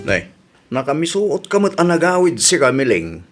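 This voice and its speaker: A man's voice: fairly high pitch, big pitch swings, normal speed, loud music behind the voice. A man playing a suspicious Ilocano Filipino husband in a radio drama, probing and grumbling as he talks.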